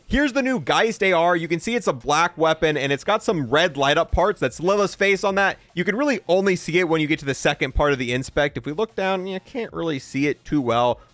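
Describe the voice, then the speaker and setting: A man's voice: fairly high pitch, fairly bright tone, dry recording. A man giving casual, opinionated gameplay review commentary in an informal, reactive way. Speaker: gaming content creator, host.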